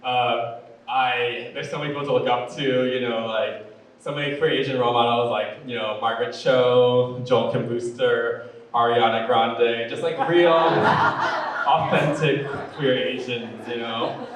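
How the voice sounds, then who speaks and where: A male stand-up comedian with a deep voice and a sweet, fruity cadence, telling jokes in a campy, chatty way full of "like" and "you know". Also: steady tone, a little slow, distant mic, slight background noise. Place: comedy club stage. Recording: very echoey room, voice off-mic.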